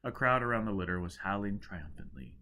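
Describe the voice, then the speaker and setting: A man reading an audiobook, narrating dramatically with a theatrical, expressive delivery. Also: lowish voice, brisk. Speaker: audiobook reader.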